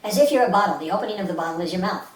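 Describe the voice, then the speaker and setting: A woman's voice: mid-range voice, expressive, very fast. A woman leading a guided meditation as an instructor, speaking in a soothing, instructive way with short repeated phrases. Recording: roomy, distant mic with faint hiss.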